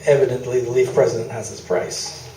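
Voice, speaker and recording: A man's voice: medium pitch, steady tone, a little fast, mellow, close to an everyday voice. A man playing a sardonic, skeptical newsman in a live staged radio play, delivering dry, needling replies. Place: small theatre stage, indoors. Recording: roomy, distant live theatre video, noisy.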